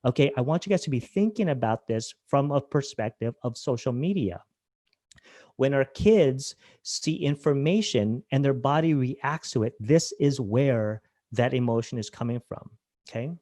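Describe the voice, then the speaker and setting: A man's voice: medium pitch, lively intonation, moderate pace, mellow, dry recording, studio quality. A man from Hawaii presenting a webinar, talking conversationally and asking his audience questions. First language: Filipino language.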